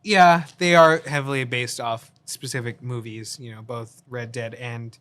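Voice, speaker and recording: A young man chatting casually about video games, speaking haltingly and stumbling over his words. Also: medium pitch, steady tone, fairly bright tone, smooth. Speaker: podcast co-host.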